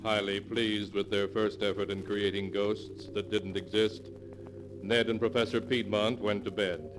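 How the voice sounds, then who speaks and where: Deep-ish guy narrating old 40s radio mystery, music playing behind him. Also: flat delivery, a little slow. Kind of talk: 1940s radio scripted narration. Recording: vintage radio with music underneath.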